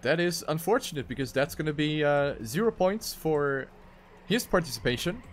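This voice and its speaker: A man's voice: on the high side, animated, normal speed. A man doing live commentary on an esports racing game as one of the casters. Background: music.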